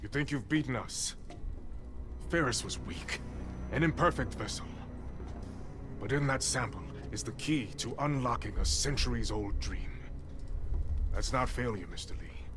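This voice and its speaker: An actor playing a smug, menacing prison warden in a cinematic game, speaking in measured phrases. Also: male voice, mid-range voice, over music, lightly acted. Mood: smug menace. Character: cold, imperious villainous prison warden.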